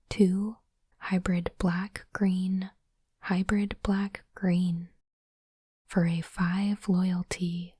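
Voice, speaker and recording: A woman doing ASMR in a soft, breathy whisper, speaking in a hushed, deliberate way as she hosts an unboxing. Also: fairly low pitch, dry recording, clean studio sound. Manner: slow, deliberate, hushed delivery. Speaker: host of ASMR card unboxing channel. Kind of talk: ASMR whispering, close and gentle narration.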